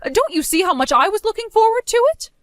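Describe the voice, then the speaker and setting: A woman voicing a stern, belittling middle-aged mother in an animated story, with a cold, scolding manner. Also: extremely high pitch, quick pace, no room sound, pitched above the performer's own voice. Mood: proud.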